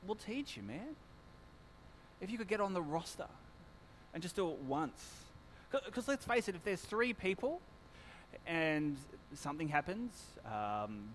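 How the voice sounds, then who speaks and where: Male pastor, high and loud, chatty and self-mocking, faint hum under the church mic. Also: expressive, a little slow, rough recording. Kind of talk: church notices and sermon. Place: church hall. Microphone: handheld or stand microphone.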